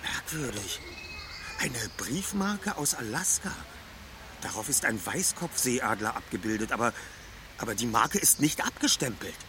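An actor playing a self-assured teenage detective leader with formal, precise wording in an audio drama. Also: male voice, mid-range voice, expressive, a little slow, background noise, natural voice. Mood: pensive, decisive. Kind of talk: German audio drama acting.

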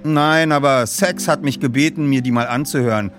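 A man voicing a good-natured, practical teenage boy detective in audiobook dialogue, brisk and matter-of-fact. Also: mid-range voice, over music, lightly acted. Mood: friendly.

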